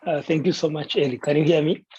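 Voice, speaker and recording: A man giving a semi-prepared guest presentation in a deliberate, polite way. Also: a little high, fairly even intonation, darkish tone, slightly rough. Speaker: guest presenter pitching a city. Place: online video call. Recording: dull, lo-fi online call audio.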